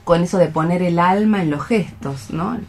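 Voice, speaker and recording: A woman's voice: on the low side, moderate pace, darkish tone. A woman with an Argentine accent chatting casually as a co-host on a radio show.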